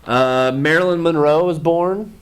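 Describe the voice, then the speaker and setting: Guy cohosting a stream, slowish, kinda high voice, chill and giggly, lots of ums. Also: clean tone. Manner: laid-back, laughing, halting with ums. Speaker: live stream co-host. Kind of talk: casual chat.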